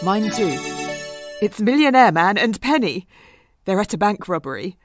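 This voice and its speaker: A woman's voice: medium pitch, sing-song, moderate pace, soft background music, close to an everyday voice. A woman playing an eager, romance-minded superhero, playful and wheedling, in an audio comedy. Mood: coaxing.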